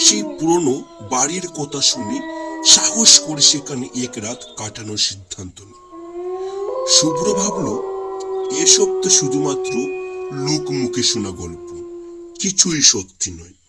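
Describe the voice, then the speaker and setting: A man's voice: fairly high pitch, wildly swooping pitch, measured pace, long pauses. Wildly swooping man narrating horror over music. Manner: theatrical, suspenseful delivery. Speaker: story narrator. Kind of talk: scripted horror storytelling. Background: loud music.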